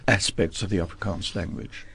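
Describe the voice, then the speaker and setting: A man's voice: deep voice, fairly even intonation, moderate pace, a bit bright, slightly rough. A man hosting an interview, affable and conversational. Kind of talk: radio interview.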